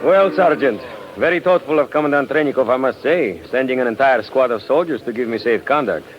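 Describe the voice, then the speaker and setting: An actor playing an authoritative, wary government inspector, brisk and genteel, in an old radio drama. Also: male voice, mid-range voice, lively intonation, dull audio, close to an everyday voice. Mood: dry, wary. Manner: brisk, commanding. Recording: very noisy old 1940s radio recording.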